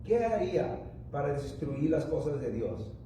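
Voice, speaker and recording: A man's voice: on the high side, sing-song, mellow, very echoey, recorded from a distance, a little hiss or hum, rough recording. A man preaching a sermon, a native English speaker who halts and restarts often as he speaks. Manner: rambling, halting with many restarts and fillers. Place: church.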